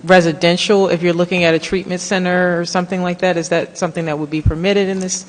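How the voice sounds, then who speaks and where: A woman's voice: on the low side, flat delivery, fast talker, in a large hall, a little hiss or hum. A woman commissioner asking a question at a public meeting.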